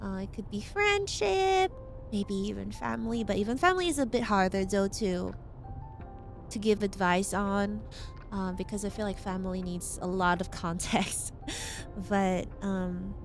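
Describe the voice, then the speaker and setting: A woman VTuber with a hoarse voice, chatting casually with her stream, rambling and giggling often. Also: mid-range voice, unhurried, long pauses, soft background music.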